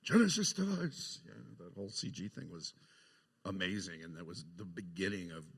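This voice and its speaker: A man's voice: low voice, animated, moderate pace, husky. A man telling casual anecdotes as a guest on a live panel. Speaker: panel guest, film effects artist. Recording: echoey hall, live panel sound.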